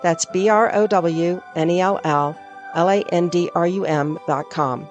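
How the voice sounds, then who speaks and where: Woman host for story outro, steady announcer delivery, faint music bed. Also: lowish voice, a little slow, muffled recording. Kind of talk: scripted outro.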